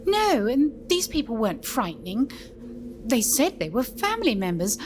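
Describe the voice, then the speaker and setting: A woman's voice: fairly high pitch, sing-song, moderate pace, a little mellow, a little hiss or hum. A woman playing a prim, earnest governess in an audio drama, anxious and politely proper. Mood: troubled.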